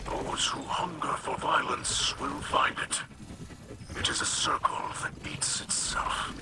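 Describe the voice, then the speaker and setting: A man voicing a solemn, patient alien leader in a video game, with a breathy, rasping voice and formal, measured phrasing. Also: background noise, close to an everyday voice. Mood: grave, patient. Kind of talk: video game cutscene acting.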